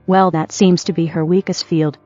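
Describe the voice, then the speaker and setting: A woman's voice: on the low side, little pitch movement, moderate pace, mellow. A woman co-hosting in scripted banter, with a dry, sarcastic and needling manner. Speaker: co-host. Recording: dull dry audio, music behind. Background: background music.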